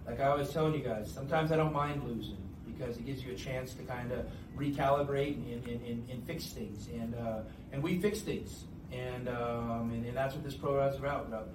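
A basketball coach gives post-game remarks at a quick pace, full of fillers and restarts. He sounds far from the mic in a roomy, echoey space. Clear background noise runs under his voice. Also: mid-range voice, fairly even intonation. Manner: halting, with many fillers.

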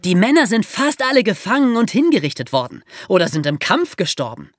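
A woman telling history as a podcast host, in a lively explanatory storytelling style for young listeners. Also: medium pitch, brisk, no room sound, studio quality.

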